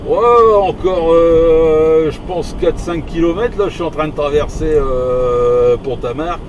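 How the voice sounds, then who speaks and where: A man chatting casually in on the radio while driving, in a hesitant, halting, conversational way. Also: fairly high pitch, muffled recording. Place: inside a moving vehicle. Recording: noisy moving vehicle, mic at a distance. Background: loud engine and road noise.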